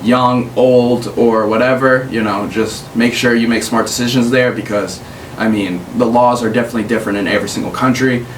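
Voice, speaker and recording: A man's voice: mid-range voice, steady tone, a little fast. Young man chatting casually in an echoey room. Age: young adult. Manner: laid-back, rambling advice with many fillers. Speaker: podcast host. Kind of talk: casual chat. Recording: roomy sound from a distant mic with faint hiss.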